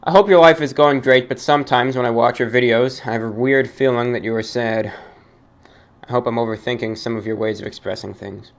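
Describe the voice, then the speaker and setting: A man's voice: mid-range voice, steady tone, vocal fry. Formal man reading listener emails. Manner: formal, measured, halting delivery. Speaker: host reading fan mail. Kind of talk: radio-style monologue reading emails.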